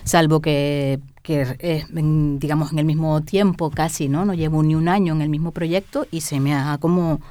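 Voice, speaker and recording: Animated woman guest, dry sound. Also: sits low, normal speed, hardly any pauses, dry recording. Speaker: guest artist. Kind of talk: casual interview talk.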